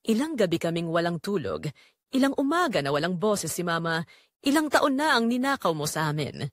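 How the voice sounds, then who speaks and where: A woman voicing a furious, wounded young woman in an audio drama, with a sharp, emphatic delivery. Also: on the low side, sing-song, brisk, no room sound, studio quality. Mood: bitter. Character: furious, wounded young woman confronting her father's mistress.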